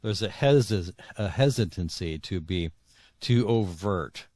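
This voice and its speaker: Very low-voiced middle-aged man, earnest. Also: expressive, darkish tone, no echo. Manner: earnest, halting with repeated words. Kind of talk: conversational interview answers.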